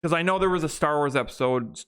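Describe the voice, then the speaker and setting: Bright, clear-voiced man rambling casually. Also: on the high side, fast. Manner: rambling, lots of restarts and fillers. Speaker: podcast co-host. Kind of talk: casual chat.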